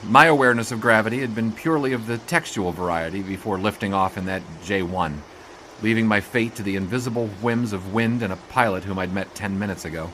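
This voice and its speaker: An actor playing an earnest, wordy sportswriter, narrating a radio drama in a formal, literary, wry way. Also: male voice, lowish voice, a little fast, fairly bright tone, slight background noise, lightly acted. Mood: reflective, wry. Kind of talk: scripted first-person radio drama narration.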